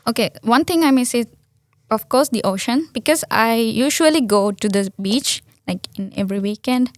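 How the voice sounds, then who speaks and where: Woman, Sri Lankan accent, native Sinhala speaker, young adult, fairly high voice, dry close sound, earnest, restarts a lot. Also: lively intonation, moderate pace, no room sound. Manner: earnest, repeats words and restarts, many "like" fillers. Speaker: guest. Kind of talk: conversational interview answers.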